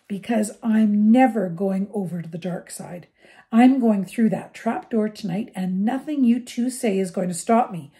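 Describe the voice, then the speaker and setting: A woman's voice: medium pitch, mellow, natural voice. A woman voicing a determined young schoolboy in a storybook reading, with an urgent, earnest manner.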